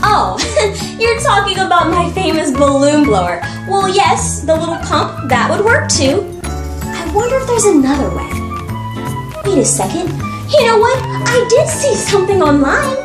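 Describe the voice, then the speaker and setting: A woman hosting an interactive children's show, talking directly to young viewers in an exaggerated, animated manner. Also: extremely high pitch, unhurried. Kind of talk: interactive children's read-aloud and science show. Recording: echoey room with music. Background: music.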